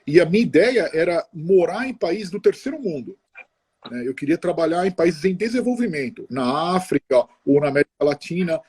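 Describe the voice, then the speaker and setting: Mellow husky middle-aged man chatting. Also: on the high side, big pitch swings. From Brazil. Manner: chatty, halting, many restarts and tag questions. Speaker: interview guest. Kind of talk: casual conversational interview.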